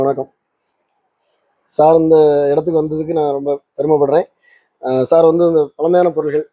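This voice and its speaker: Male demo host, brisk and explanatory, close dry sound, casual English-sprinkled delivery. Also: on the high side, mellow, no echo, highs cut off. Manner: explanatory, mixes English words into his talk. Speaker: channel host and electronics maker demonstrating a kit. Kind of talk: casual product demonstration to camera.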